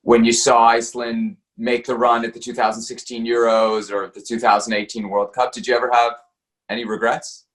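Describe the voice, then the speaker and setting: A man's voice: mid-range voice, little pitch movement, a little fast, a bit bright. A man working as a sports interviewer, putting questions in a conversational style.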